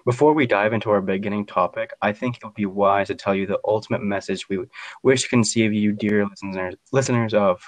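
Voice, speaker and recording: A man's voice: on the low side, steady tone, a little fast, dry recording. A man hosting a podcast, reading a scripted introduction in a formal, grandiose manner. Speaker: podcast host.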